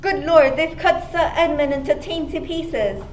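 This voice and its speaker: A woman's voice: high-pitched, measured pace. A teenage girl playing a melodramatic, shocked guest in an amateur comic radio play. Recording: roomy with background noise.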